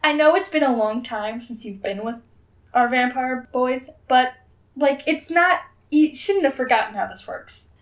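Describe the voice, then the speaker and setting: A woman's voice: high-pitched, animated, a little slow. A woman co-hosting a podcast with casual comedic banter, blunt, sarcastic and freely sweary. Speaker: podcast co-host.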